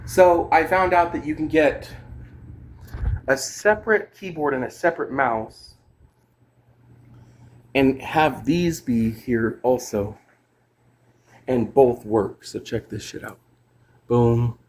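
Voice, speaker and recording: A man with an average-pitched voice rambles casually to camera like a home vlogger. His talk is slangy and loose, peppered with swearing. Faint noise sits behind him in a homemade recording. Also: slow, pauses often. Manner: informal, swearing, slangy, talking as they demonstrate gear. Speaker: vlogger and amateur songwriter.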